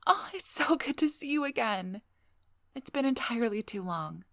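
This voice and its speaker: Casting: actress for a cunning female vampire hunter in intimate ASMR audio drama; lowish, breathy, playfully domineering, very dry recording. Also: measured pace, no echo. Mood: playful, affectionate. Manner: teasing, playful, coolly controlling. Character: devious, vigilant female vampire hunter, flirty then businesslike. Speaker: audio roleplay performer. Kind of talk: scripted ASMR roleplay, addressing the listener.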